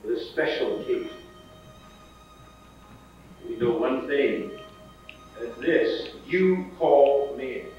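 A man leading a slow, solemn public prayer in a church. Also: mid-range voice, animated, faint music behind. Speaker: pastor. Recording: muffled, echoey hall from far off.

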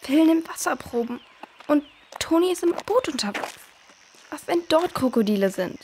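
A woman's voice: sits high, animated, normal speed. A woman playing an anxious, easily startled young girl in a children's audio drama, with worried questioning.

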